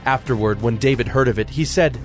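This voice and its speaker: A man narrating dramatized scripture from a script in a measured, formal manner. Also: medium pitch. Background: music.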